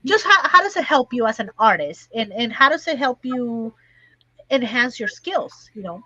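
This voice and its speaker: A woman host asking interview questions, halting and hesitant as she speaks. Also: fairly high pitch, big pitch swings, sharp tone. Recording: dull, dry, lo-fi sound.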